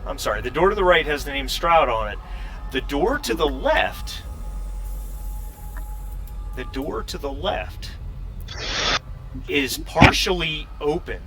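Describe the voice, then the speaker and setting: A man's voice: fairly high pitch, sing-song, slow, pauses often, over music. A man working as game master, narrating a tabletop role-playing game in a conversational, somewhat hesitant way.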